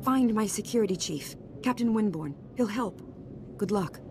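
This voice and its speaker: A woman acts as a commanding game senator. She speaks in formal, authoritative phrases at an average pitch. A noticeable rumble sits behind her. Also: animated, normal speed, lightly acted. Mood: stern urgency. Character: stern, commanding female senator, pressed for time. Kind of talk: video game cutscene acting. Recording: noticeable rumbling background noise.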